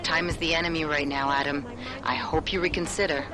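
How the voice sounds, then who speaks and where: A man's voice: on the high side, fairly even intonation, a little fast, very noisy, natural voice. Urgent, blunt male ally, voiced by an actor. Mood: reproachful. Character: urgent, casual male pilot ally on comms. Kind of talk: video game cutscene acting.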